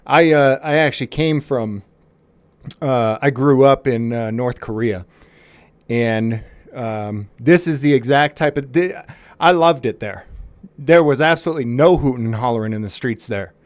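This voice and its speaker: A man's voice: mid-range voice, normal speed, dry recording. A man making a prank phone call to a police department, mock-serious and faux-sincere, often restarting his sentences.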